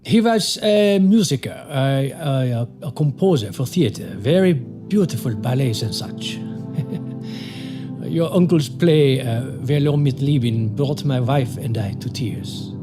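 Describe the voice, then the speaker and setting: A man playing a courteous German lawyer in an audio drama, with a German accent and formal, sometimes halting phrasing. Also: mid-range voice, lively intonation, music in the background. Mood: polite, nostalgic. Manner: formal, halting word searches.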